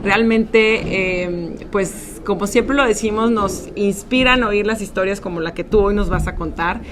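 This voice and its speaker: A woman's voice: medium pitch, a little slow. Upbeat woman hosting an interview. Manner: upbeat, with frequent filler words. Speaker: podcast host. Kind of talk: casual interview. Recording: faint background noise.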